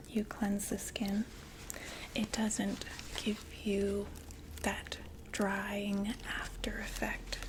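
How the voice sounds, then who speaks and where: A woman playing a polite vampire makeup saleswoman in an ASMR roleplay, whispering softly with gentle, attentive, explanatory patter. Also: medium pitch, background noise. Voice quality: soft breathy whisper.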